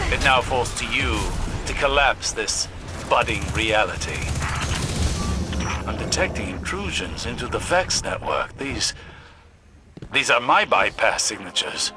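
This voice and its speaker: A grave, pompous scholar of time played by a male actor instructs a game hero. His mid-range voice is formal and measured, set over prominent music. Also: medium pitch, natural voice. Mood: urgent, formal. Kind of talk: video game mission narration.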